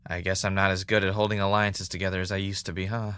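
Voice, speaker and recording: A man acting as an anxious, self-doubting podcast host in an audio drama, talking in a halting, confessional way. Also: sits low, little pitch movement, sharp tone, smooth, dry recording. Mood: regretful, despairing. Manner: hesitant, self-questioning, halting.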